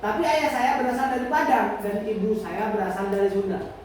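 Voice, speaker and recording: A man's voice: high-pitched, animated, a little fast, a little mellow, voice pushed up. A man voicing an earnest young schoolgirl from a children's story, in simple declarative phrases. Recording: distant, echoey, noisy room recording.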